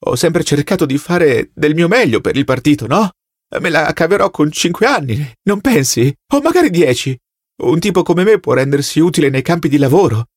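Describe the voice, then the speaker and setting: A man's voice: medium pitch, animated, no echo, clean studio sound, lightly acted. A man voicing a plump, servile, agitated party loyalist who whines and pleads in an audiobook. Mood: anxious, pleading. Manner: whining, rapid-fire questions with tag words.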